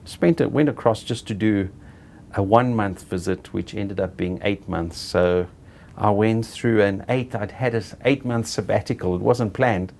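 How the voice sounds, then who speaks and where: Gravelly, low-voiced South African man. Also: a little mellow, slight background noise. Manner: unhurried, halting, self-correcting. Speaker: interviewee, property director. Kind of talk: reflective personal storytelling.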